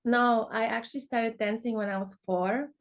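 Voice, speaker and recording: A woman's voice: fairly high pitch, fairly even intonation, moderate pace. A young woman from Poland, a podcast guest talking casually about teaching dance. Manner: chatty, many fillers like "you know".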